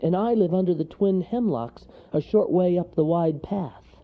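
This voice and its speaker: A man's voice: high-pitched, lively intonation, normal speed, gravelly, phone-quality sound, voice pushed up, heavily acted. A man voicing a small, anxious male woodland animal who speaks courteously and nervously in a children's story. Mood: eager.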